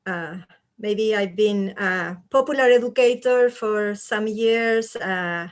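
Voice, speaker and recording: A woman from Chile, a native Spanish speaker with a Chilean accent, introducing herself as a community organizer with frequent "uh" fillers. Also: medium pitch. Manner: slow and halting, frequent "uh" fillers. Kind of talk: self-introduction on a panel.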